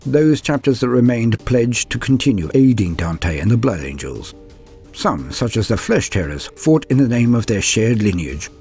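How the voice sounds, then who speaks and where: A man giving a grave, theatrical reading of scripted war lore as a narrator, in measured phrases. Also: mid-range voice, big pitch swings, a little mellow. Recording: voice over background music. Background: music.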